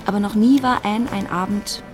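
A woman's voice: mid-range voice, moderate pace, over music. A woman narrating a literary radio drama in a scripted storytelling style.

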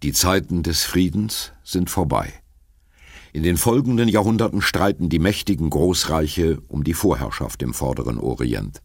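Deep, gravelly male audiobook narrator. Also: expressive, no room sound, clean studio sound. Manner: sonorous, measured delivery. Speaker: audiobook reader. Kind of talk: scripted narration.

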